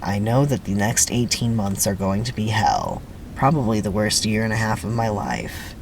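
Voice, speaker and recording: Cynical sixteen-year-old boy acted by a script reader, bitter and blunt, mid-pitched. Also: animated, moderate pace, vocal fry, a little mellow, slight background noise, poor audio. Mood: sullen, bitter. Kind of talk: dramatic script reading.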